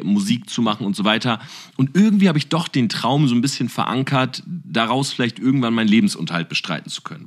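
A man's voice: medium pitch, fairly bright tone. A man interviewing a podcast host, asking long, probing questions in a talkative, casual way. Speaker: guest interviewer, entrepreneur.

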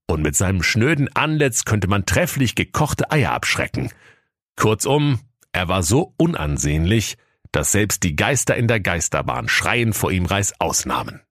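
A man's voice: sits low, no echo, studio quality. A man narrating a comic fairy tale as storyteller in a mock-solemn manner.

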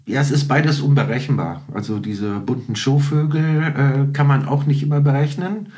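A man's voice: mid-range voice, moderate pace, dark tone. Man chatting as an interview guest. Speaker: podcast guest, musician. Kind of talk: conversational interview, telling anecdotes.

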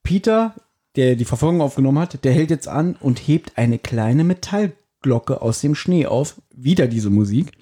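A man's voice: medium pitch, lively intonation, normal speed, mellow, slightly rough. A man co-hosting a podcast, casually retelling and discussing an audio drama. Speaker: podcast co-host.

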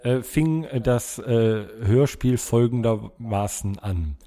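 A man's voice: medium pitch, slow, warm tone. A man co-hosting a podcast, reminiscing in a rambling, conversational way. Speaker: podcast co-host. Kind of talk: casual reminiscing chat.

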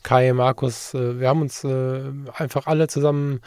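A man's voice: mid-range voice, flat delivery, moderate pace, a little mellow, clear, resonant tone, dry recording, clean studio sound. A man hosting a podcast, telling stories in a reflective, earnest way during a casual conversation. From Germany, Ruhr area region. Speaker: podcast host.

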